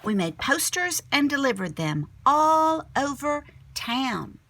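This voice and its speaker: A woman teacher reading a children's picture book aloud expressively, sometimes dropping to a hushed tone. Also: high voice, takes it slowly, slight background noise. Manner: animated storyteller, drops to hushed whispery tones.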